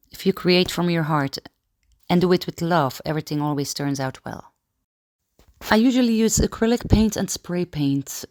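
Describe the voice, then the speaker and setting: A woman from Belgium, a painter calmly reading a personal statement about her work. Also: sits low.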